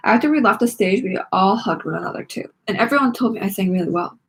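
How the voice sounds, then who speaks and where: A woman's voice: medium pitch, expressive, fast talker, husky. A woman reading a children's story aloud with dramatic expression, acting out the lines. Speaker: read-along narrator of a children's book.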